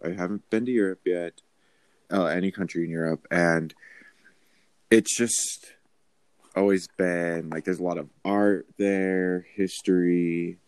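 A very deep man with a gravelly, fry-laden voice, speaking slowly and easing through hesitations. The sound is close and dry. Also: dry recording. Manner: slow, relaxed, hesitant. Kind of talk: casual group chat.

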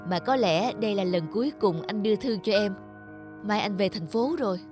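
An actor voicing a dutiful young rural postman in an animated folk tale, gentle, polite and reassuring. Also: medium pitch, moderate pace, music in the background, lightly acted. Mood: hesitant, gentle.

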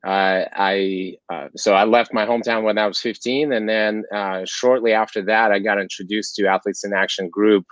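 Dull-sounding low-voiced man from Kazakhstan. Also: flat delivery, normal speed, hardly any pauses, fairly bright tone, no echo. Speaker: interview guest, sports ministry leader. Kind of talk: conversational interview, personal story. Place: remote call. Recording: dull lo-fi call audio.

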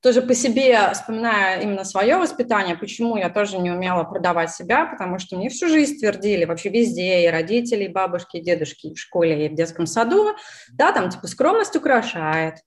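A woman's voice: mid-range voice, animated, fairly quick. A woman whose first language is Russian, chatting casually with many fillers and restarts. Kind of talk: casual conversation.

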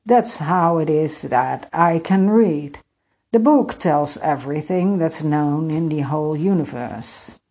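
A woman voicing a huge, pompous grandfather frog god in a storybook, measured and matter-of-fact. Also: low voice, animated, warm tone, husky, full character voice. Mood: self-important.

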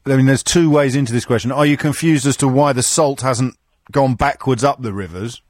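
A man's voice: mid-range voice, a little fast, dry recording. A middle-aged man hosts a radio phone-in in a dry, teasing way and makes fun of himself. He talks into a studio mic, and the sound is close and dead, with no room around it. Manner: dry, teasing, self-mocking. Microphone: studio microphone.

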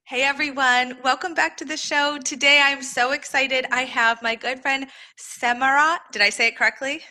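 A woman with a fairly high, bright voice hosts a podcast in an upbeat, chatty way. She welcomes listeners warmly and moves easily between introductions and questions. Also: fairly even intonation, normal speed. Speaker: podcast host. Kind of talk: podcast interview, reading a sponsor ad.

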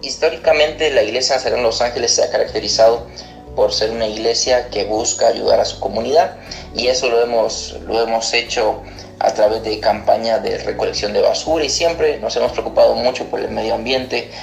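Super creaky guy in his 30s from costa rica, pastor giving interview answers, echoey room. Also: medium pitch, steady tone, a little fast. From Costa Rica, born in Argentina. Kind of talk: recorded interview answer, explanatory. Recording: echoey room, music underneath.

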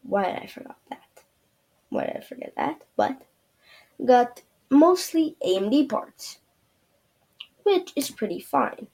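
A woman's voice: high-pitched, very animated, unhurried, vocal fry, pauses often, darkish tone. A woman hosting her own show, talking through a screen-share review in a loose, rambling way with lots of fillers. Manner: rambling, loose, many fillers and trailing "yeah". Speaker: podcast host.

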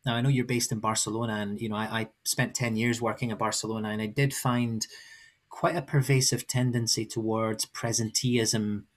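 A man's voice: on the low side, level tone, moderate pace. A man hosting a podcast, asking long, winding questions in a conversational way. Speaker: podcast host. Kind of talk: podcast interview.

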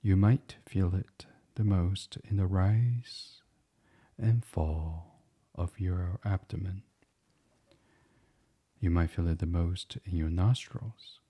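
Very low, slow, soft meditation guide man. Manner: soft, soothing, unhurried, breathy hushed delivery. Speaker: podcast host, guided meditation narrator. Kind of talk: guided meditation, gentle bedtime reading.

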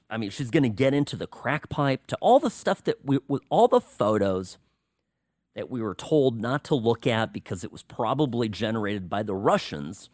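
A man's voice: mid-range voice, no room sound. Talk radio guy ranting solo, voice jumps all over, sarcastic asides, dead dry booth. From United States. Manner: animated, sarcastic, confiding asides. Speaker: radio talk show host. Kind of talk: political talk radio monologue.

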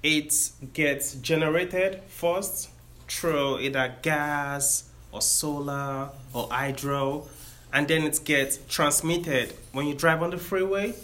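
A man's voice: on the high side, fairly even intonation, slow pace, pushed and bright. A man in his thirties with a Nigerian accent, giving a friendly career talk to students and explaining step by step.

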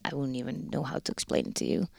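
A woman's voice: a bass voice, sing-song, fast talker, vocal fry, mellow, no room sound, clean studio sound. A woman from the Netherlands with a Dutch accent, Dutch as her first language, speaking frankly as an interview guest in casual chat.

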